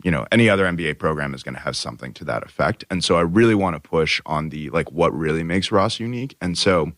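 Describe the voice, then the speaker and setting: A man's voice: low voice, expressive, quick pace, a bit bright, no room sound, studio quality. A young man, an MBA student co-hosting a podcast, talking in a loose, rambling way full of "you know" and "like". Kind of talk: casual podcast chat.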